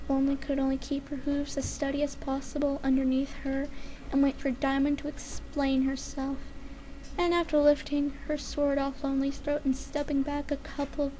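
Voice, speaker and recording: A woman with a very high voice narrates a story in dramatic fashion. Her delivery is deliberate, and there is clear noise in the recording. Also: mellow, poor audio. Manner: deliberate, careful enunciation. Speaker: fan fiction reader.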